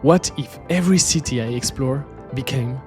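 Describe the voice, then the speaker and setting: A man's voice: mid-range voice, moderate pace, loud music behind the voice. A man from French-speaking Switzerland, a native French speaker with a Swiss French accent, gives scripted, reflective travel narration as an artist presenting his journey. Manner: reflective, storytelling.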